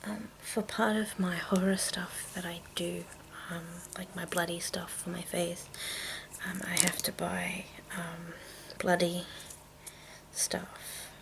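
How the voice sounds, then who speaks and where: A woman whispering in a breathy, hushed, unhurried way with halting pauses, presenting an ASMR show-and-tell. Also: on the low side, level tone, background noise. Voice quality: breathy whisper with creaky edges. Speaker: ASMR video host.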